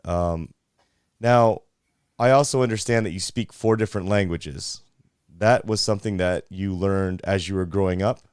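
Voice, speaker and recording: A man in his thirties hosting a podcast interview, asking measured, affirming questions. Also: low voice, a little mellow, clear, resonant tone, dry recording, studio quality. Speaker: podcast host. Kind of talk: conversational interview.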